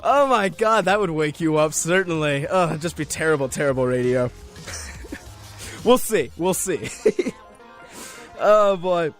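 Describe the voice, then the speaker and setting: A man's voice: fairly high pitch, unhurried, long pauses, a bit bright. A young man hosting a radio show solo, chatting casually in a rambling, jokey, self-deprecating way over music. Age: young adult. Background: music under the voice.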